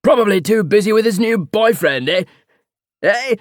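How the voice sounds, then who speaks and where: A man doing a loud, braying uncle. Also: sits high, animated, moderate pace, dry recording, clean studio sound, pitched above the performer's own voice. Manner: braying, chortling. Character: loud boorish uncle, middle-aged man.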